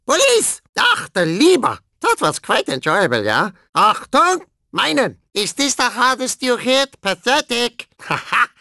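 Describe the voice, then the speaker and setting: A man voicing a deranged, gleefully violent and whiny German cyborg racer in a video game, with an exaggerated German accent and an excitable, theatrical delivery. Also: very high voice, a little slow, creaky, few pauses, no echo, clean studio sound, exaggerated voice. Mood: gleefully aggressive. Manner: theatrical, excitable, tacking questioning tags onto remarks. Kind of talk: cartoon video game character clips.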